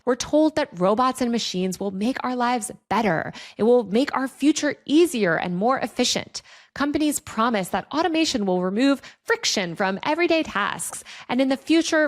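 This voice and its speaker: A woman hosting a video essay on her channel, explaining in a conversational, part-scripted way with casual slang. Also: mid-range voice. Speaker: host of a tech and online culture video channel.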